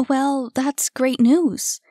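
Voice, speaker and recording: A woman voicing an anxious, nervy young woman who speaks high and urgently. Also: lively intonation, moderate pace, a little mellow, no room sound, studio quality.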